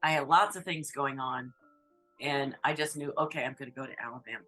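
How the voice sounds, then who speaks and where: Low bright-toned woman, candid and kinda halting, music under. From New Hampshire, USA. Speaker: interviewee, photographer. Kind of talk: reflective testimony.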